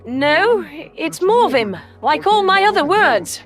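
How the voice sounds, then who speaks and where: A woman voicing an ancient alien predator soldier in a sci-fi audio story, with a very high voice. The speech is smooth, formal and reproachful, with faint music beneath. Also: big pitch swings, slow, exaggerated voice. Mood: curt. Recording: music under the voice.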